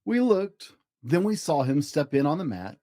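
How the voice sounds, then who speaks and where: A man hosting and narrating a children's storybook with expressive, deliberate, dramatic rhyming delivery. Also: a little high, dry recording, clean studio sound. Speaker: host and storybook reader.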